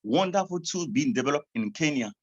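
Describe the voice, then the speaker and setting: A man's voice: fairly high pitch, lively intonation, a little fast. French-accented man, hesitant, on a dull line. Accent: French-speaking African. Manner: hesitant, with fillers and restarts. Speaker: certified coach and panelist. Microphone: video call.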